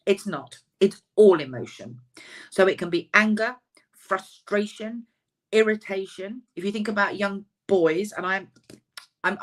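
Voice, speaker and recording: A woman, a counsellor and author, giving a chatty, emphatic webinar talk over a video call, her voice slightly husky. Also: medium pitch. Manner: chatty, self-deprecating, emphatic.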